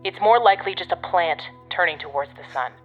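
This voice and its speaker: A woman's voice: fairly low pitch, a little fast, lo-fi sound, faint music behind, lightly acted. A woman acting in an audio drama as a brusque, pragmatic invigilator with an impatient, no-nonsense delivery. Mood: stern, urgent.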